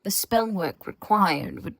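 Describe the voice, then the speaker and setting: A woman playing an aloof, sarcastic researcher who lectures in a measured, formal and dry way in an audio story. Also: medium pitch, lively intonation, no room sound. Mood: measured, lecturing. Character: aloof, sarcastic, scholarly government researcher speaking through voice-disguising charm. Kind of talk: scripted audio fiction dialogue.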